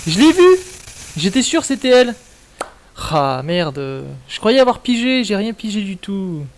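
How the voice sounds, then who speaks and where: A man's voice: high voice, very animated, a little slow, slight background noise. A man giving casual, slangy, mildly sweary commentary as a video game streamer. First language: French.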